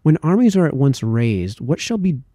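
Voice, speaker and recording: Very mellow-voiced man reading aloud. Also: on the high side, big pitch swings, moderate pace, no echo, studio quality. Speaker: amateur reader of historical texts. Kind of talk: reading aloud a formal political essay.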